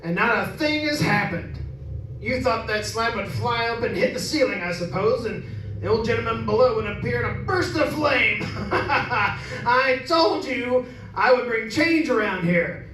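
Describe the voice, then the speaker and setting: A man playing a gruff, stubborn middle-aged father who scoffs at superstition, in old radio horror style. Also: extremely high pitch, lively intonation, unhurried. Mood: mocking. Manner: gruff, dismissive, bossy. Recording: roomy distant recording, faint noise.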